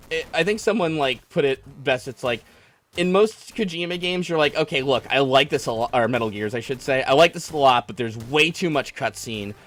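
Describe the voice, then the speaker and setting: A man hosting a livestream, ranting casually about a game in an exasperated, rambling, profane way. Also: medium pitch. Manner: exasperated, animated, rambling, mimicking others in mock quotes. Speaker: podcast and livestream host taking callers.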